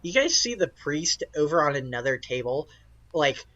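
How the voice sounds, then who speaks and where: A man's voice: a little high, sing-song, moderate pace, bright, forceful tone, dull audio. A man narrating a tabletop game as game master, improvising casually and searching for his words.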